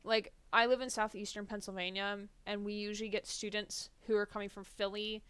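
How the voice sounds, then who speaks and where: A woman's voice: mid-range voice, little pitch movement, fairly quick, fairly bright tone, clear, resonant tone, no echo. A young woman from New Jersey, a streamer chatting casually and rambling while she games. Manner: chatty, rambling, many fillers. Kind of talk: casual chat while gaming.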